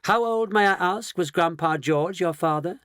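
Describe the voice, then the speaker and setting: A man's voice: sits high, moderate pace, a bit bright, no echo, studio quality, voice pushed up. A man voicing an eccentric, fussy candy inventor with courteous, theatrical speech in a storybook reading. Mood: pleased.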